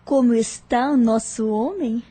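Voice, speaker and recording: High-voiced, scornful villainess played by a woman. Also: unhurried, warm tone, breathy edge. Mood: mocking menace. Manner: sarcastic, taunting. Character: vindictive, scornful villainess obsessed with a man. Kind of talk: audio drama acting.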